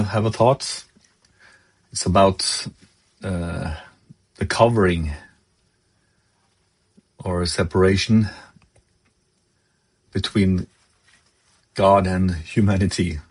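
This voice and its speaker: A man from Norway with a Norwegian accent, giving a devotional sermon in English, his second language, pausing often to search for words. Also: low voice, fairly even intonation, husky. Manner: halting, searching for words, many fillers. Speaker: lay preacher.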